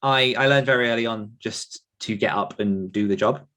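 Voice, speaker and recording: Man, British accent, lower pitch, casual anecdotes, chatty, thinks aloud. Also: normal speed, fairly bright tone. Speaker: podcast guest and author. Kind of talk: casual personal anecdote in conversation.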